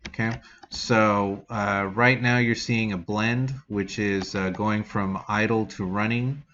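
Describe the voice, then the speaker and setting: A man giving a casual software tutorial as an instructor, explaining in an informal, rambling way. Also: fairly low pitch, steady tone, slow pace. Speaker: game development instructor. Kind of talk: casual screen-recorded software tutorial walkthrough. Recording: dull lo-fi mic with background noise.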